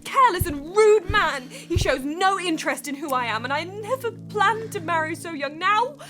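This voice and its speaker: A woman's voice: extremely high pitch, animated, moderate pace, bright, forceful tone, soft background music, heavily acted. A woman playing a polite, hesitant young upper-class bride-to-be in a period radio drama. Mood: upset. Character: timid, sheltered young upper-class bride-to-be, quietly discontented.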